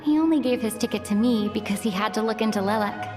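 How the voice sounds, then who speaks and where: A woman voicing a composed, dryly teasing young traveler in a game cutscene, measured and wry. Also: fairly high pitch, music in the background, natural voice. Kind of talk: animated game cutscene acting.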